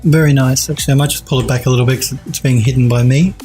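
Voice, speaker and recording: A man's voice: medium pitch, fairly even intonation, very fast, a little mellow, faint music behind. A man in his forties with a head cold that makes him sound a bit nasal, giving an enthusiastic casual critique of 3D art on a live stream at home. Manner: enthusiastic, repeats praise, restarts. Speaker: 3D art streamer reviewing viewer work. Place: home streaming room, indoors.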